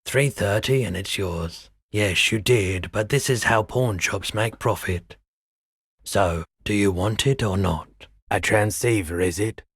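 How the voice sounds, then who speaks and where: An actor playing a laid-back, world-weary pawnshop owner in a video game, husky, soft-spoken and wistful, with an unhurried, low-key delivery. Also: male voice, no echo, studio quality. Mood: businesslike, relaxed. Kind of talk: video game character dialogue.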